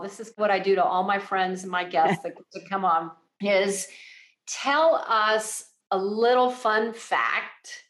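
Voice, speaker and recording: A woman hosting a corporate podcast interview, upbeat as she asks questions and wraps up the show. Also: medium pitch. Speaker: podcast host. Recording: roomy echo.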